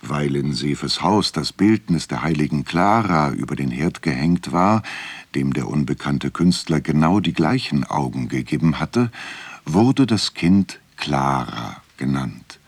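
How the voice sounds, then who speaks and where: An actor playing a grave storyteller who solemnly reads a saint's legend in a radio drama. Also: male voice, a bass voice, lively intonation, unhurried, slightly rough, no room sound, heavily acted.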